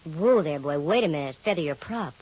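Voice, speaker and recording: A woman's voice: deep voice, lively intonation, brisk, a little mellow. An actress playing a breezy, stubborn woman detective in a 1940s radio drama, with slangy wisecracks and a dull old radio sound. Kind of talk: 1940s radio acting and narration.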